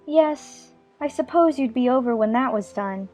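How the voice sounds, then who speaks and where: A woman's voice: high-pitched, expressive, moderate pace, a little mellow, faint music behind. A woman playing a polite, curious young girl who questions frankly, in a dramatized audiobook with muffled sound. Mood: curious, cheerful.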